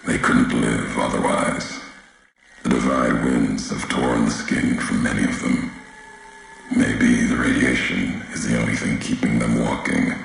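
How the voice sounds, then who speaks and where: Brooding male game wanderer, grim and cryptic, very heavy vocal fry, unhurried clipped delivery. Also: extremely low pitch, heavy reverb, a little hiss or hum, full character voice, rough recording. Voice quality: gravelly. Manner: cryptic, deliberate, clipped fragmentary phrases. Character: grim, brooding wasteland wanderer, solemn and judging. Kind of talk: video game character dialogue.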